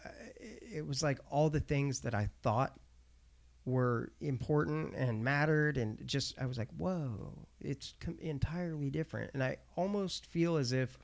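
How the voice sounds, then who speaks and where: A man in his late thirties, hosting a casual podcast conversation, speaking reflectively and haltingly with many pauses to find his words. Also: mid-range voice, creaky. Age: 30s.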